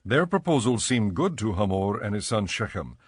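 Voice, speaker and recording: A man narrating a dramatized audio Bible in a measured storytelling manner. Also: on the low side, very animated, slightly rough, no echo.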